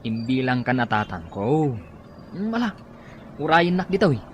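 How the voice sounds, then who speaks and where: A man's voice: medium pitch, sing-song, moderate pace, background noise, lightly acted. An actor with an Ilocano Filipino accent playing a kind, helpful young man in a radio drama. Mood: concerned. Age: young adult.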